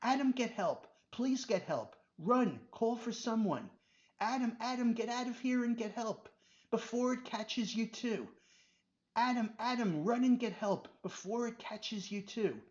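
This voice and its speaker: A man voicing a boy caught by a monster, shouting desperately for his friend to run. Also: very high-pitched, lively intonation, unhurried, voice pushed up. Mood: terrified. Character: bold boy turned screaming in terror. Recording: dull lo-fi recording.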